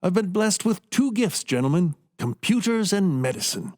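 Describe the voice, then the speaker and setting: An actor playing a renowned, modest neurosurgeon in a radio play, genial and conversational. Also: male voice, high-pitched, sing-song, moderate pace. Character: renowned, self-deprecating male neurosurgeon and inventor.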